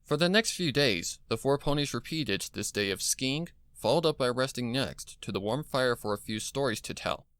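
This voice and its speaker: A man's voice: mid-range voice, lively intonation, normal speed, few pauses, a bit bright, smooth, no room sound, clean studio sound. A man reading a scripted horror story as a dramatic narrator, with music behind him. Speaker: fan fiction narrator. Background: background music.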